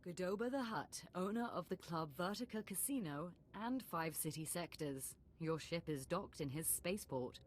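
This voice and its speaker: A poised imperial diplomat, voiced by a woman, with a notably low voice and a measured, formal delivery. She speaks in polished, courteous game dialogue over a faint hum of ambience. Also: animated, close to an everyday voice.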